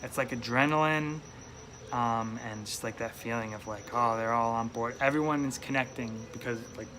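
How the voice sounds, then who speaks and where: A young man with a light New York accent talks in a loose, rambling way, with plenty of ums and restarts. His voice sits in an ordinary middle register. Crickets and steady noise run under the recording outdoors. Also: mid-range voice, normal speed. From upstate New York. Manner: rambling, hesitant, many fillers and restarts. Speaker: stand-up comedian, guest. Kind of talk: casual interview chat.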